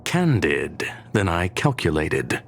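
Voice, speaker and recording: An actor playing a courteous, coolly logical genius ruler in a video game, with formal, analytical phrasing. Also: male voice, low-pitched, sing-song, slow pace, vocal fry, fairly bright tone, a little hiss or hum, full character voice. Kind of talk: scripted video game cutscene dialogue.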